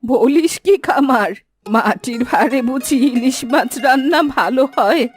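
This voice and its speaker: A woman playing a brisk, bossy old village granny in a fairy-tale cartoon. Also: high voice, animated, soft background music. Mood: chatty. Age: older. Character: bossy, busy old village granny who cooks hilsa.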